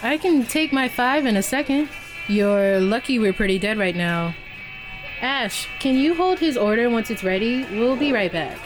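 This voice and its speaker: A woman's voice: on the high side, expressive, moderate pace, over music, close to an everyday voice, rough recording. A woman playing an easygoing, reassuring young coffee shop worker in an audio drama, speaking casually. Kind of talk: naturalistic audio drama dialogue.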